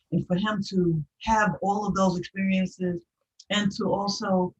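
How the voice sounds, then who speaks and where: A woman host speaking earnestly and off the cuff, in a halting way with repeated phrases, on a distant, lo-fi recording. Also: mid-range voice, a little mellow, slight background noise. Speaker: host of a poetry platform. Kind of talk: earnest unscripted remarks. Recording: distant mic, dull lo-fi sound.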